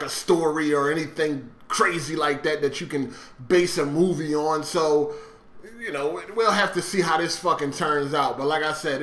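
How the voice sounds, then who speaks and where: Guy doing casual gaming commentary, swears a lot, bright punchy voice, echoey room sound. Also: on the high side. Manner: blunt, swearing, repeated conversational check-ins. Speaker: gaming video host. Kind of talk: casual opinionated vlog commentary.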